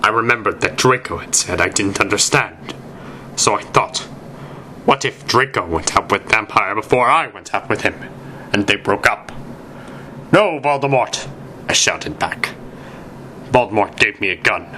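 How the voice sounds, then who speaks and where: A man reading a story aloud as narrator, with deliberate, emphatic, amateur dramatic delivery. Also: fairly low pitch, sharp tone, slight background noise.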